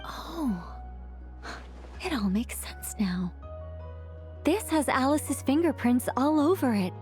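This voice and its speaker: A woman playing a wise, calm companion who speaks thoughtfully and gently guides others in a game cutscene. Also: high-pitched, takes it slowly, faint music behind, exaggerated voice. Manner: thoughtful, reflective, gently guiding.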